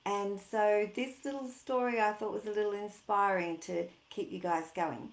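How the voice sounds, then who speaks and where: A woman reading a picture book aloud to children, chatting informally and putting expressive, singsong emphasis on the words. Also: mid-range voice, moderate pace. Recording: echoey room.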